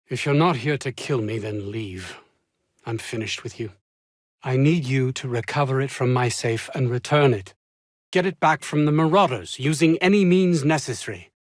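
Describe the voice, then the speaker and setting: Haughty, high-pitched, anxious scientist. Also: male voice, no echo, studio quality. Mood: curt, defensive. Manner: pompous, formal diction, fussy, indignant when flustered. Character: haughty, anxious, ambitious lead scientist, a man. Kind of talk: scripted video game character dialogue.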